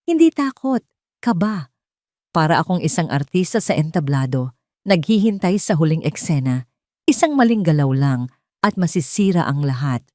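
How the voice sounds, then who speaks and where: A woman narrator acts a betrayed wife in an audio drama. She is restrained and guarded, polite yet quietly resolute, in a dry recording. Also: low-pitched, sing-song, moderate pace, no echo. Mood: nervous.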